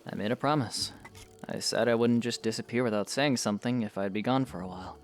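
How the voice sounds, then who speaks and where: A man playing a calm, teasing young knight in an audio drama, with terse, easygoing delivery. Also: medium pitch, fast, soft background music, natural voice. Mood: calm, reassuring.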